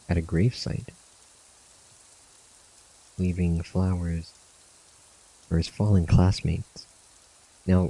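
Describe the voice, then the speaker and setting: A man with a low, creaky voice gives relaxed commentary on a game story. His tone is mellow and dark, and music plays softly behind him. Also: low-quality recording. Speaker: video creator reading game cutscenes aloud. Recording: music under the voice.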